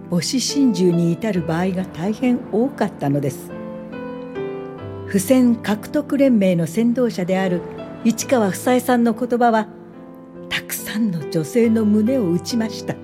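Actress doing earnest young lady activist, gentle n polite, radio drama, music behind. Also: medium pitch, close to an everyday voice. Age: young adult. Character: earnest young woman activist and social worker. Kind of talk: Japanese radio drama acting and narration.

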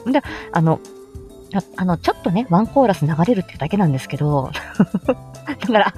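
A woman's voice: fairly low pitch, darkish tone. A woman rambling casually to her listeners as a stream host, giggly and self-deprecating. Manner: giggly, self-deprecating, laughs often at herself.

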